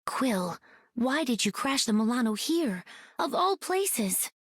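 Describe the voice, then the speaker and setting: An actress playing an empathic alien heroine in a video game, calm and formal with gentle teasing. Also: female voice, a little high, slow, slightly rough, no echo, clean studio sound, exaggerated voice. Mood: probing.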